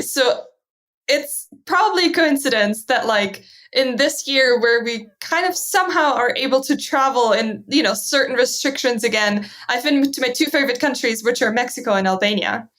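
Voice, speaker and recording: A woman's voice: on the high side, fairly bright tone. Upbeat German-accented young woman. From southern Germany. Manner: upbeat, laughs often, many "like" and "right?" fillers. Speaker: guest. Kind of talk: casual interview conversation.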